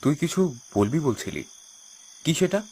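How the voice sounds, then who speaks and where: A man playing a timid, frightened young grandson in an animated horror story, anxious and hesitant. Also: on the high side, unhurried, a little hiss or hum. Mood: uneasy.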